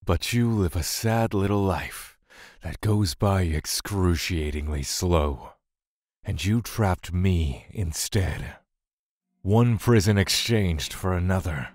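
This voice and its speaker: Grim vengeful god for an audio drama, low voice, slow n deliberate, close dry sound. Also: male voice, darkish tone, no room sound. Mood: cold, menacing. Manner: slow, deliberate, cold menace. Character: brooding, bitter male god, grieving and vengeful.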